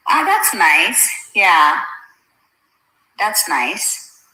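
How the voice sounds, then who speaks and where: A very bright, forward woman's voice sits slightly low for a woman and carries an Ethiopian accent from her native Amharic. She chats informally to livestream followers, working out her thoughts as she speaks. The room around her is clearly echoey. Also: very animated, very slow. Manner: informal, thinking aloud, addressing viewers with endearments. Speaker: social media livestreamer. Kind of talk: casual livestream chat. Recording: roomy, echoey sound.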